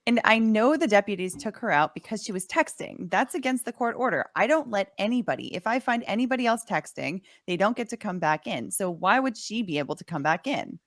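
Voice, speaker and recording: A woman's voice: mid-range voice, expressive, fairly quick, hardly any pauses, no room sound, close to an everyday voice. Woman playing a blunt judge, dry close sound. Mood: firm, impatient. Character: brisk, no-nonsense female trial judge. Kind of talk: dramatic reading of a court transcript.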